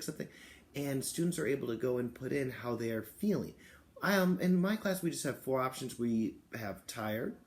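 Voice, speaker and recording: A man speaking as a kindergarten teacher, explaining and reading aloud to young children and parents. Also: medium pitch.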